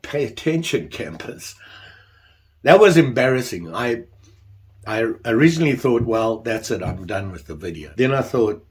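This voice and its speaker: A man's voice: mid-range voice, lively intonation, moderate pace. An older man talking casually about his leatherwork, congested while getting over the flu, self-deprecating and rambling. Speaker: leatherwork vlogger. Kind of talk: casual workshop chat.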